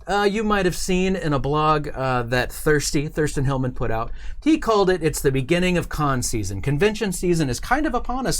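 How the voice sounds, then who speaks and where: A man hosting a casual live-streamed interview in a studio, talking in an informal, chatty way. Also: on the high side. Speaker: show host.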